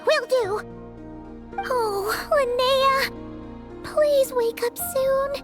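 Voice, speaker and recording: A woman voicing a tiny, excitable, caring girl companion in a video game, with a squeaky childlike voice and eager exclamations. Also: drawn-out words, music in the background, full character voice. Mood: relieved, worried. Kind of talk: animated video game voice acting.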